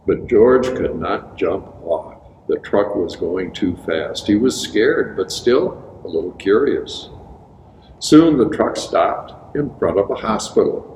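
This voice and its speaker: A man reading a children's storybook aloud, with expressive, emphatic phrasing for young listeners. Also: fairly low pitch. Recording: echoey reverberant room with background hiss.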